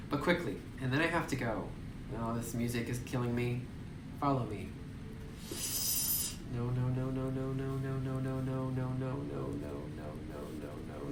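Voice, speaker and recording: A man playing through a horror visual novel, reading the game text aloud and reacting nervously to scary moments, recorded on a phone mic some distance away. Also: mid-range voice. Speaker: let's play gamer. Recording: distant roomy phone audio with noise.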